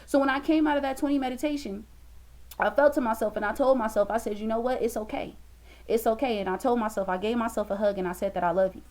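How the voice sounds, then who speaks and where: Woman, 20s, motivational vlogger; emphatic, repetitive preaching into a laptop cam at home. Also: on the high side. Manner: emphatic, preachy, repeats for stress. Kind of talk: motivational talk to camera. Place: small room indoors.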